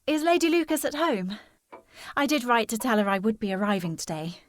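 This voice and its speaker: An actress playing a formal, earnest and insistent gentlewoman, anxious about family, in a period audio drama. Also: a little high, fairly quick, lightly acted. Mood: polite. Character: proper, anxious, insistent gentlewoman seeking her sister.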